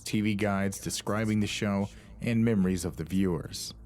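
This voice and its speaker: A man delivers scripted documentary-style narration. Music plays softly underneath. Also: lowish voice, fairly even intonation, moderate pace. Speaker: video narrator.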